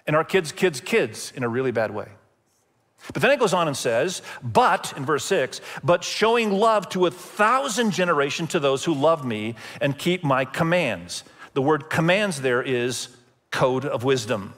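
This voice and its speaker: A man who grew up in Indonesia, preaching a sermon as pastor in a conversational storytelling way, with emphatic pauses. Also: medium pitch, fairly bright tone. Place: church sanctuary. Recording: long hall reverb.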